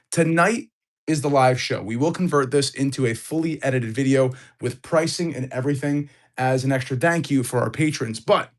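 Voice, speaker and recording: A man hosting a live card-opening stream, excitable and hyped, greeting viewers, his voice a little off as he recovers from illness. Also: mid-range voice.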